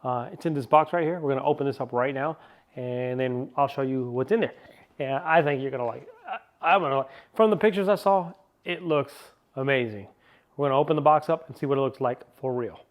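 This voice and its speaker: A man chatting through a casual car-parts unboxing, excitable and rambling, still a little sniffly from a cold. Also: mid-range voice, fairly quick. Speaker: car vlogger.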